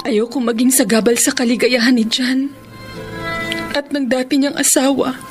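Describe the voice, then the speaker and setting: Casting: actress for sorrowful Filipino woman in love, high register, emotional radio drama, light musical underscore. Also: fairly even intonation, normal speed, over music, close to an everyday voice. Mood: tearful devotion. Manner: melancholy, emotional. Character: sorrowful, self-sacrificing woman storekeeper in love.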